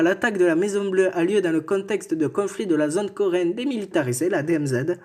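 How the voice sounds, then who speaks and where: A man casually reading history notes aloud as co-host, stumbling, correcting himself and joking aside. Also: high voice.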